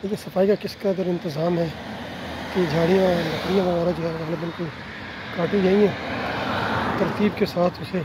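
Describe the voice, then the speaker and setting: A Pakistani man with an Urdu accent narrating a walking tour of a quiet residential street, politely pointing out houses as he films on his phone. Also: high-pitched, fairly even intonation, background noise. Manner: polite, unhurried tour-guide commentary with frequent "ji" and "theek hai na". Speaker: travel vlogger. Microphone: handheld phone camera while walking.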